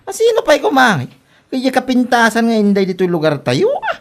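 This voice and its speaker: A woman's voice: fairly high pitch, wildly swooping pitch, normal speed. A woman playing a cheerful, smitten younger brother, dreamy and playful, in a comedic audio drama.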